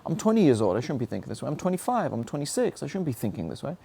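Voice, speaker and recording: A man's voice: mid-range voice, big pitch swings, rapid-fire, gravelly, warm tone, husky. A young adult man giving an earnest, searching monologue about his own struggles, often asking himself questions. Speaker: speaker sharing personal reflections. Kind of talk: reflective motivational monologue.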